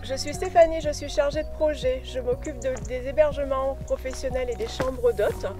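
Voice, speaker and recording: High-pitched woman presenting over music. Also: fairly even intonation, moderate pace. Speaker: tourist office project officer. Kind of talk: scripted staff presentation.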